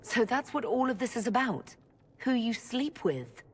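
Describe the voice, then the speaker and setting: An actress playing a calm, supportive leader in a fantasy video game, speaking in a measured, earnest and direct way. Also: female voice, on the high side, slight background noise. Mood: firm, supportive. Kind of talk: video game dialogue acting.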